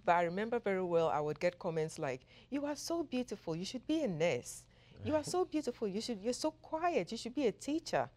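A woman from Ghana, a professor, giving measured, thoughtful answers as an interview guest. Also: mid-range voice, sing-song, darkish tone. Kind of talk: interview conversation.

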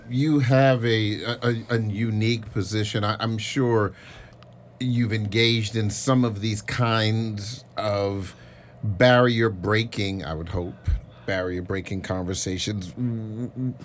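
Man moderating a panel, low voice. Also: slight background noise. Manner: probing follow-up questions.

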